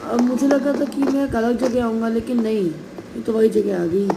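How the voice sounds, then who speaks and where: Man, sore throat, casual game commentary straight to viewers, keeps clearing his throat, noisy recording with clicks. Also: very high voice, fairly even intonation, moderate pace. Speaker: gameplay video creator.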